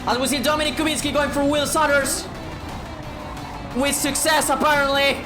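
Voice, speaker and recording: A woman commentating a sim racing event with lots of swearing, shouting at big moments and dropping low to spare her sore throat. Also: level tone, moderate pace. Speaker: race commentator. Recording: echoey room with music under it. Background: background music.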